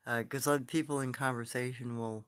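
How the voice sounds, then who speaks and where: A soft-spoken man telling stories and answering comments in a casual, rambling live stream, recorded on his phone. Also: mid-range voice, clean tone.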